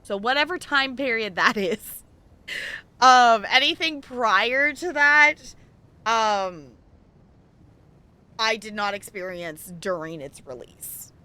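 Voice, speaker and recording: A woman's voice: on the high side, lively intonation, takes it slowly, long pauses, pushed and bright, clear, resonant tone. A woman hosting a game livestream, chatting casually and thinking aloud in a rambling way as she plays. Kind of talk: casual chat while playing an online game.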